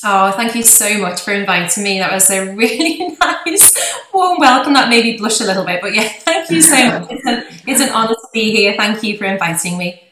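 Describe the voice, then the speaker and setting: Bright-voiced Liverpool woman, chatty. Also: medium pitch, lively intonation, normal speed, reverberant, slight background noise. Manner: chatty and laughing often. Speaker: guest, physiotherapist. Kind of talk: podcast interview.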